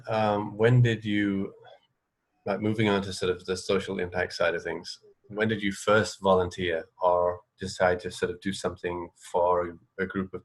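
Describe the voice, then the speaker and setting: Very low, even-toned man interviewing. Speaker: radio interview host. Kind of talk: casual interview.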